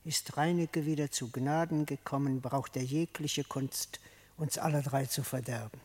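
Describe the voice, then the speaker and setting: An actor playing a gloomy, fearful animal at court in a classic radio play, lamenting anxiously in verse. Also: male voice, medium pitch, steady tone, moderate pace, lightly acted. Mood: despairing, fearful.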